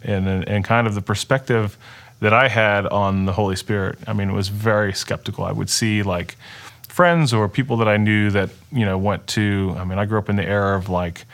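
A middle-aged man whose first language is Dutch shares his story in a conversational interview. His voice sits a little low. He talks earnestly, often pausing and restarting mid-sentence. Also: fairly even intonation, a bit bright. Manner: earnest, halting, many restarts and fillers. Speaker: interview guest. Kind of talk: personal testimony in conversation.

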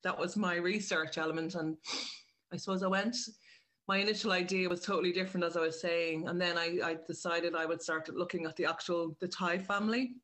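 A woman's voice: fairly low pitch, fairly even intonation, a little fast, fairly bright tone. An Irish woman chatting as an interview guest, explaining her work in a wandering, self-correcting way. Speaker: writer and director, interview guest. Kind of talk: casual interview chat.

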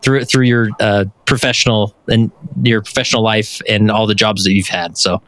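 A man's voice: fairly low pitch, steady tone, normal speed, fairly bright tone, no echo, low-quality recording. A man interviewing a guest, encouraging him with short affirmations. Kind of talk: casual interview.

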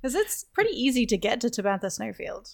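A woman's voice: on the high side, sing-song, a little fast, gravelly, darkish tone. A woman chatting casually as a guest on a gaming podcast, with a wry manner. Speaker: guest on a video game podcast. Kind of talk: casual chat.

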